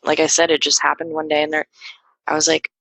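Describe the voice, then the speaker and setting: A woman's voice: low-pitched, monotone, fast, creaky, fairly bright tone, no echo, poor audio. A young woman guest on a podcast, talking casually and hesitantly with lots of fillers and restarts. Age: young adult. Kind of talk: casual conversational interview.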